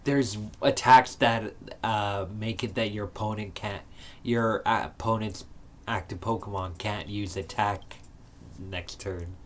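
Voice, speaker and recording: Low-voiced man chatting over a card game. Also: fairly even intonation, a little hiss or hum. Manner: explains rules in halting bursts with fillers. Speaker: stream co-host. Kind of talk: casual chat while playing a card game. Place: game store.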